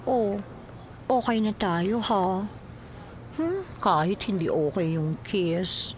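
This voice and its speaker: A woman's voice: mid-range voice, slow, a little mellow, a little hiss or hum, natural voice, rough recording. An actress with a Filipino accent playing a flirty, clingy woman, coy and pouting in radio drama style. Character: flirty, clingy woman companion of a married policeman.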